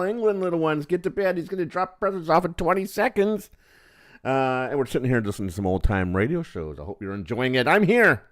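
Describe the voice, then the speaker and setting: A man hosts a livestream in a chatty, rambling way. His pitch moves up and down a lot as he talks informally. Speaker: livestream host. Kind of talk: casual livestream chat.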